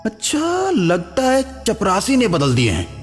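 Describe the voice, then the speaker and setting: A man's voice: high voice, huge pitch swings, a little slow, voice pushed up, full character voice. A man voicing a puzzled young male student in a cartoon horror story. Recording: long hall reverb, music behind.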